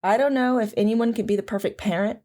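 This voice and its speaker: A woman's voice: fairly high pitch, fairly even intonation, fast talker, dark tone, natural voice. A woman playing a thoughtful, philosophical mother being interviewed on the radio in an audio drama. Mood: reflective.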